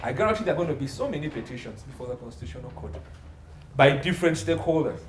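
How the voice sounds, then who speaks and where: A man's voice: on the high side, expressive, very fast. A man explaining as an interview guest, emphatic, repeating phrases and posing rhetorical questions. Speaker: legal consultant, interview guest. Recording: echoey room with faint background noise.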